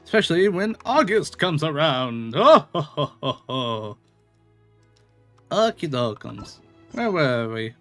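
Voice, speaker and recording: A man streams a video game with casual, playful commentary. His voice sits fairly high and moves around a lot in pitch, breaking into exclamations as he talks to the characters. Music plays behind him. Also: big pitch swings, slow, a bit bright.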